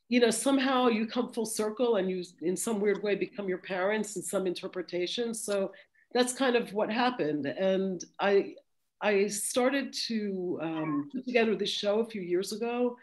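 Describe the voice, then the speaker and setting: A woman's voice: mid-range voice, darkish tone, poor audio. Chatty self-deprecating middle-aged woman. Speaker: guest chef and TV host. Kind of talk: casual webinar talk. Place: home room, indoors. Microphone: video call, laptop camera.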